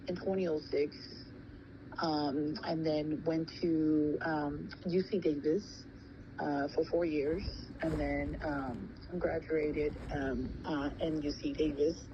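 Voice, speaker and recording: A woman in her thirties, born in Thailand and raised in California, answering interview questions slowly, with frequent pauses and ums. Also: low voice. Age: 30s. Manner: hesitant, many pauses and ums. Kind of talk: casual recorded interview. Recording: muffled with steady background noise.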